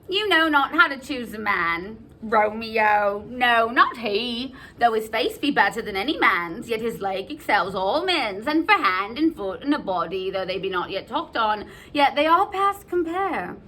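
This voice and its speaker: A woman's voice: fairly high pitch, expressive, takes it slowly, sharp tone, heavily acted. A woman voicing a weary, teasing old nurse with groaning, dawdling, melodramatic complaints in stage verse.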